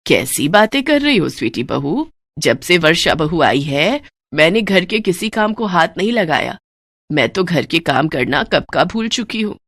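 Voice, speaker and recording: A woman's voice: fairly low pitch, very animated, measured pace, fairly bright tone, no echo, clean studio sound, full character voice. A woman voicing an elderly, fretful mother-in-law with a plaintive way of talking in a storybook drama. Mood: puzzled.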